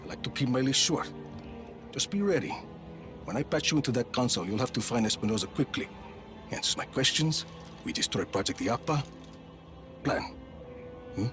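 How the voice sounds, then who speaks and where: A gruff action-hero man, as an actor plays him, delivering short, wry lines in a mid-range voice. He sounds dry and self-assured, the kind of game cutscene lead who jokes through danger. Faint music sits behind him. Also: mid-range voice, animated, a little fast, pauses often, close to an everyday voice. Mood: determined. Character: tough wisecracking male action hero. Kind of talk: video game cutscene acting.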